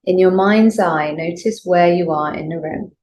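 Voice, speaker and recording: A woman with a slightly low voice leads a guided relaxation as a yoga nidra teacher. She speaks gently and soothingly, leaving space between her instructions. There is noticeable background noise and ambience in the recording. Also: normal speed. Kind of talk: guided meditation.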